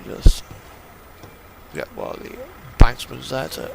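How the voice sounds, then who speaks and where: A man giving casual commentary on a truck driving game, musing aloud as he thinks through each step, with engine sound behind him. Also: slow pace. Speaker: gamer narrating a truck driving simulator. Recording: clear engine and vehicle noise. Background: truck engine and reversing beeps from a game.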